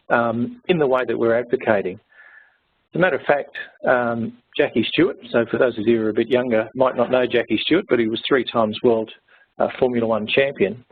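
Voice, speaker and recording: Male conference presenter, mid pitch, husky, chatty with fillers, thin phone-band audio. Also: narrow, tinny audio. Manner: conversational, with frequent fillers and repeats.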